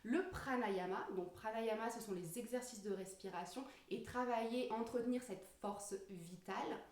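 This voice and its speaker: Higher-voiced woman teaching, distant and echoey. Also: normal speed, a little mellow. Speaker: yoga teacher. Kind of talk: informal explanatory lesson. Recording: roomy echo, distant microphone.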